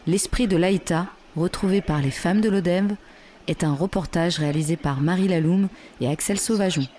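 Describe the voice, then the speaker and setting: A narrator reads a documentary voice-over in a measured, formal manner at a middling pitch. A faint background hiss sits under the voice. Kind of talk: scripted narration.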